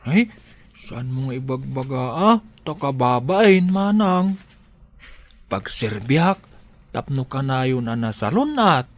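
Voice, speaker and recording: A man's voice: mid-range voice, big pitch swings, takes it slowly. A man with an Ilocano accent playing a shy, devoted and humble servant in a radio drama. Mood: embarrassed, earnest.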